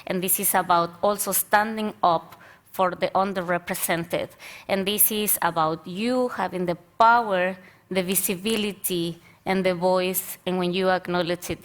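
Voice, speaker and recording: A woman's voice: lowish voice, steady tone, normal speed. A woman in her thirties from Mexico, speaking articulately as a panelist and diversity consultant, with easy laughs. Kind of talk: panel discussion.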